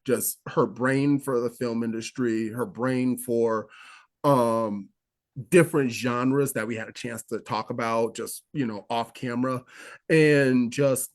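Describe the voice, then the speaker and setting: A man's voice: mid-range voice, steady tone, slow pace. Rambling enthusiastic male actor. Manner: enthusiastic, rambling with restarts and fillers. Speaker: actor guest. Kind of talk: interview recollection.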